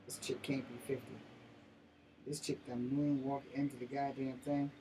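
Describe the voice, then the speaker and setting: A man's voice: medium pitch, little pitch movement, moderate pace, warm tone. An American man in his fifties chatting casually to viewers in a rambling, profane, slangy way. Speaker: host of his own vlog. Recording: noisy, distant-sounding mic with room ambience.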